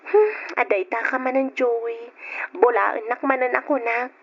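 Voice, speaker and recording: A woman's voice: fairly high pitch, measured pace. An actress playing a young woman in love who fears she is pregnant, in an old radio drama with a lo-fi sound. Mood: shy.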